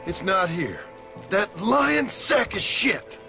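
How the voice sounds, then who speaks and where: Sardonic cowboy, slightly high voice. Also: male voice, sing-song, slow, vocal fry, over music, exaggerated voice. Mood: exasperated. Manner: dry, sardonic. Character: stern, sardonic male cowboy gunslinger. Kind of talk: animated western game acting.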